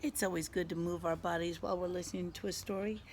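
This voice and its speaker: A woman teacher doing an interactive read-aloud for children outdoors, expressive, asking questions and pausing for answers. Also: lowish voice. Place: outdoors in a back garden. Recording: faint outdoor background noise.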